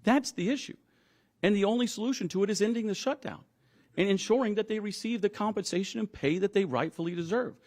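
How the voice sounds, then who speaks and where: A man speaking as a union leader at a press conference, emphatic and deliberate, repeating phrases for stress. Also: high-pitched, darkish tone. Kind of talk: press conference statements and answers.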